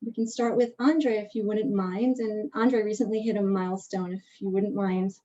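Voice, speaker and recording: A woman opening a panel on a video call, speaking haltingly with many fillers. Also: mid-range voice, steady tone, mellow, dull audio. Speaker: event organizer.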